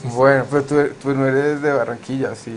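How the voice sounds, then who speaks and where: A man who teaches the course hosts a recorded class interview in a friendly, chatty manner and hesitates often as he talks. He has a mid-pitched voice. Faint ambient noise sits under him. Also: steady tone, normal speed. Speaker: course instructor, host.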